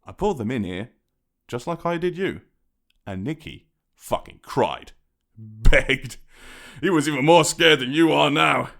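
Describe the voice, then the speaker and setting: Man acting a menacing British crime boss, swinging pitch. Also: lively intonation, a little slow, creaky, long pauses, fairly bright tone. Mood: taunting menace. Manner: taunting, theatrical, conversational threats with sardonic chuckles. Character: menacing, mocking British male crime boss toying with his victim. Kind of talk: dramatic audio roleplay monologue.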